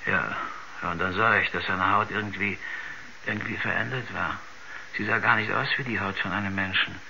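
A rambling village shopkeeper in a radio play, hesitant and stammering. His voice is very sharp and forceful with a gravelly creak. There is some hiss on the recording. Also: fairly low pitch, steady tone, a little fast, heavily acted. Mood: uneasy, puzzled. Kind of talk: 1970s science-fiction radio drama acting. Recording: dull, noisy 1970s radio recording.

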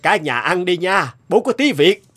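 A man's voice: high-pitched, very animated, normal speed, fairly bright tone, full character voice. A man playing an earnest, obsessive middle-aged village farmer and inventor in a cartoon, explaining things earnestly. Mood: preoccupied. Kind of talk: cartoon dialogue.

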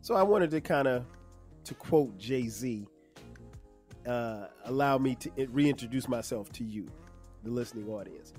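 A man in his fifties from South Carolina, hosting a solo podcast in a relaxed, conversational way with many fillers. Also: medium pitch, a little slow, faint music behind. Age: 50s. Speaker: podcast host. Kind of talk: casual solo podcast monologue.

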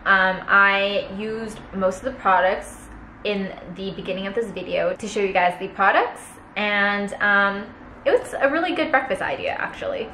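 A young woman chatting casually in a food vlog, unboxing gifts on her sofa and reading aloud haltingly while laughing at herself. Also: mid-range voice, pushed and bright, roomy sound, slight background noise, rough recording. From Canada. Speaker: food vlogger. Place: living room, seated on a sofa.

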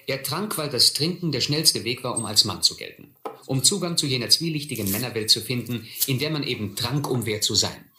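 A middle-aged actor playing a reflective man who recalls his boyhood, narrating a radio play in measured, literary style. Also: mid-range voice, animated, pushed and bright. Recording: echoey old recording, faint noise.

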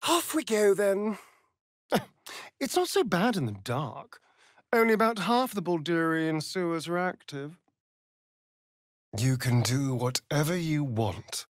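Sardonic vain British vampire, video game role, slow arch drawl, very animated pitch. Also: male voice, no room sound, clean studio sound. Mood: sly, teasing. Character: sardonic, vain male vampire rogue, theatrical and catty. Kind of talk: video game character voice lines.

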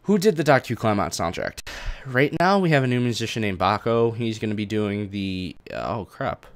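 A man's voice: on the low side, expressive, normal speed. A young man casually answering viewer questions about his game mod on a live stream, reading chat questions aloud and rambling a little. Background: faint game music.

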